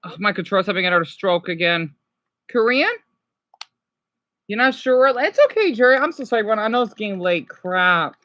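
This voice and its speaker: A woman's voice: mid-range voice, expressive, fairly quick, fairly bright tone, no room sound. A young woman streaming, chatting casually and a bit scattered to her viewers while reacting to clips. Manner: chatty, scattered, talks to chat.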